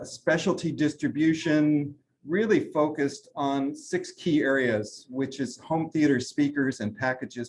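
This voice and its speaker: A man, a brand president, giving a trade interview and running through product lines with steady talk and frequent fillers. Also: mid-range voice, slight background noise. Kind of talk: business interview, product rundown.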